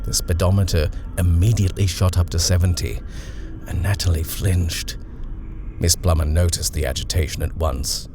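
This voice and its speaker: A man narrating a horror short story in an expressive, suspenseful reading style. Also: deep voice, moderate pace, background noise.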